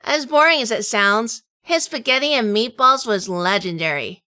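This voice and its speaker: A woman playing a wry, tough female sleuth in a hardboiled noir audio drama, telling it in measured, dramatic fashion. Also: fairly high pitch, expressive, pushed and bright. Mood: wry, weary. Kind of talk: first-person noir audio drama narration.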